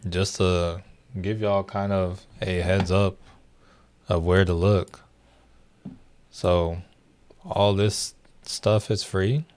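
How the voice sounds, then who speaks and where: A man's voice: low-pitched, flat delivery, very slow, long pauses, a little mellow. A young man hosting a livestream, chatting loosely with viewers, full of fillers and restarts. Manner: rambling, many fillers and restarts. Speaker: livestream host. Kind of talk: casual livestream chat with viewers.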